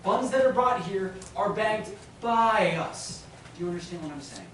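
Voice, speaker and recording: A man's voice: high voice, expressive, normal speed, a bit bright. A man acting a pompous bank manager who is losing patience with a visitor, in a stage play. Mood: stiff, patient. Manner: stuffy, exasperated, prone to outbursts. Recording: roomy hall from far off, faint noise.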